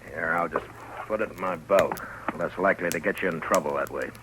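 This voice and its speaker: A man's voice: sits low, brisk, close to an everyday voice. An American man playing a stern, dry-witted frontier marshal in a 1950s radio western, speaking tersely and with authority. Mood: stern, probing. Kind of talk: 1950s radio drama acting. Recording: noisy vintage 1950s radio recording.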